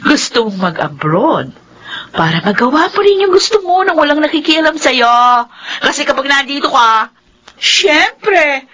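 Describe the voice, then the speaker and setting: An actress playing a sassy, nagging young adult younger sister in a Filipino radio drama, taunting and exclamatory. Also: female voice, high-pitched, a bit bright, exaggerated voice. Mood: teasing, provoking.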